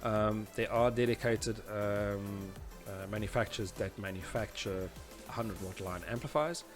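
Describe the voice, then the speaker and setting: Guy in his 40s doing a tech tutorial, lowish voice, bit halting, music playing under him. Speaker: presenter teaching audio engineering. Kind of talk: informal tutorial explanation. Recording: music behind the voice. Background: background music.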